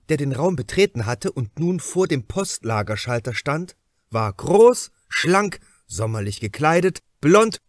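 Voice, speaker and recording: A man narrates a crime novel with expressive, measured phrasing. His mid-pitched voice rises and falls widely, and he places his pauses deliberately. The sound is dry, with no room echo. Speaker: audiobook narrator.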